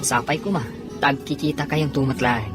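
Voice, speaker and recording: An actor with an Ilocano accent playing an inquisitive friend who gives advice over drinks, in a drama. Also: male voice, medium pitch, steady tone, normal speed, over loud music, lightly acted. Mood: concerned. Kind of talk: dramatic conversational drama acting.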